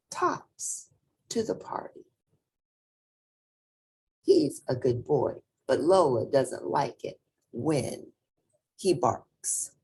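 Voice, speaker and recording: A woman reading a children's picture book aloud in an expressive, deliberate and emphatic storytelling manner. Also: low voice, husky, poor audio. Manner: deliberate, emphatic storytelling with spoken asides to listeners.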